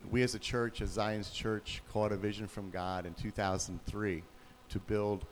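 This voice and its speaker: A man with a very low, deep voice speaks to a congregation. He tells his story in a relaxed, plain way, with faint noise behind him. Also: measured pace. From Pennsylvania, USA. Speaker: youth pastor. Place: church.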